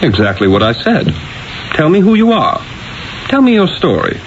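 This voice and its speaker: An actor playing an urbane, exasperated Renaissance painter in a 1970s radio drama, with a theatrical, emphatic, probing manner. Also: male voice, sits high, wildly swooping pitch, moderate pace. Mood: curious, coaxing. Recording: dull, hissy old radio broadcast.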